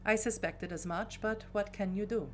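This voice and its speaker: A woman's voice: medium pitch, steady tone, moderate pace, faint music behind, voice pushed up, natural voice. A woman voicing a composed, formal aristocratic woman from Prague, with a made-up Czech accent.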